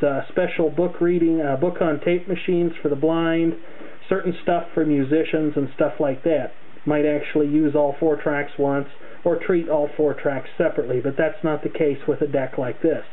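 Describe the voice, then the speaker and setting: A man's voice: on the high side, narrow, tinny audio, slight background noise. A man narrating an unscripted hands-on tutorial, explaining things carefully step by step into a camera's microphone. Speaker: hobbyist demonstrator. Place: indoors, at a tape deck.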